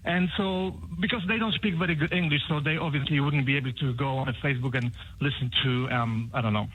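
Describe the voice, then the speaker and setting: A man's voice: mid-range voice, brisk, a bit bright, husky, poor audio. Nervous Polish man calling in. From Poland. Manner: nervous, hesitant, many ums. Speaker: caller. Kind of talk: phone-in call.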